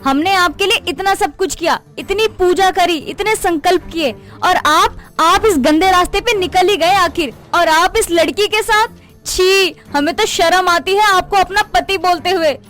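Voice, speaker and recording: A woman's voice: very high-pitched, unhurried, fairly bright tone, faint music behind. A woman playing a naive, devout young wife in a cartoon story, earnest and emotional. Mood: outraged.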